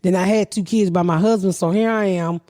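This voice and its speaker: A woman's voice: mid-range voice, steady tone, fairly quick, mellow, dry recording, clean studio sound. A middle-aged woman, a podcast host telling a long story in a blunt, profane, rambling way. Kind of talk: casual storytelling.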